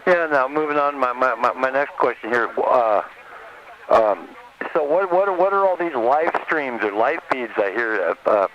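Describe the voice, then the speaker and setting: An American man in a casual CB radio chat, speaking haltingly with repeated words as he asks questions. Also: medium pitch, lively intonation, fairly bright tone, lo-fi sound. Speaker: CB radio caller. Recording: noisy, crackly CB radio transmission. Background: clear radio noise and clicks.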